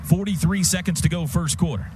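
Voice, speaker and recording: A man's voice: sits high, a little fast, background noise. A man doing live radio play-by-play of a football game, describing each play in clipped, vivid phrases. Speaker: play-by-play announcer. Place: stadium radio booth. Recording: radio broadcast over loud stadium sound.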